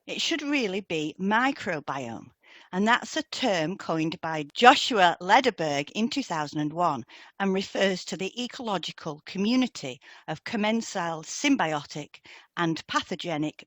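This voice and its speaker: Low-pitched British woman presenting, dry close sound. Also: lively intonation, unhurried, fairly bright tone, dry recording. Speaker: podcast co-host and hypnotherapist. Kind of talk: scripted educational podcast presentation.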